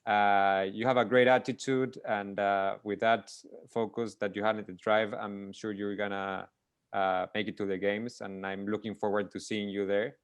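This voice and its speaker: A man's voice: on the low side, level tone, normal speed, clear, resonant tone, dry recording. A man hosting a casual interview, rambling through long questions and restarting them with many fillers. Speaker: interview host.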